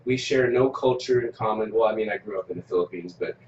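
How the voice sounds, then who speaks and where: Low-voiced man heard from a distance. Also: fast. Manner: relaxed, storytelling, frequent hums of agreement. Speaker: interviewer. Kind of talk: casual conversation. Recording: distant, echoey, muffled, noisy.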